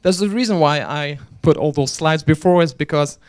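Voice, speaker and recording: Clear-voiced man giving a joking conference talk. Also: on the high side, moderate pace. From Belgium. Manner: chatty, joking, restarts often. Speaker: conference speaker and organizer. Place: conference hall.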